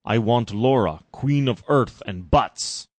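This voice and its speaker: A man voicing a scheming, manipulative male villain, measured and commanding, in a parody story. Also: medium pitch, a little mellow, dry recording, voice pushed up. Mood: demanding.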